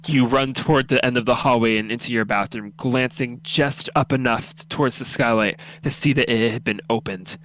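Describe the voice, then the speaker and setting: A man's voice: mid-range voice, steady tone, brisk, few pauses, fairly bright tone, rough recording. A man reading a horror story aloud as narrator, dramatic, intense and suspenseful.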